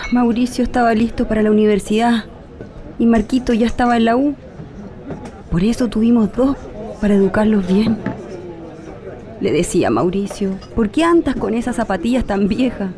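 A woman's voice: fairly high pitch, normal speed, long pauses, crowd noise, lightly acted. An actress playing a tender, nostalgic middle-aged Chilean mother back from exile, reminiscing in a radio drama. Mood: fond, nostalgic.

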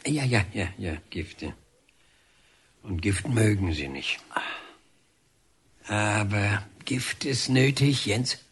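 An actor playing a defiant, scornful, earthy painter in a radio drama, halting and muttering with sudden exclamations. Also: male voice, fairly low pitch, husky, heavily acted. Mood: musing.

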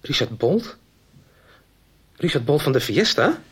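An actor playing an obsessed, threatening man in a radio soap, halting and insistently repeating his words. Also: a little high, sing-song, fairly bright tone, husky, exaggerated voice. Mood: stunned. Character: obsessed, desperate man hunting lost paintings, threatening. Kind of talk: 1990s radio soap acting.

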